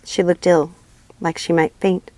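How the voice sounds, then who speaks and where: A woman's voice: lowish voice, little pitch movement, a little slow, a little mellow, no echo, poor audio. A woman narrating a fantasy storybook as an audiobook reader.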